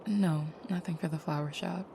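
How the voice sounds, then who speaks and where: Woman acting a soft, creaky-voiced vampire girlfriend. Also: fairly low pitch, fairly even intonation, normal speed, slight background noise, lightly acted. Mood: tender, apologetic. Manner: soft, hushed, hesitant, often dropping to a near whisper. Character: gentle, caring vampire girlfriend, soft-spoken and hesitant. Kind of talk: intimate audio roleplay, comforting the listener.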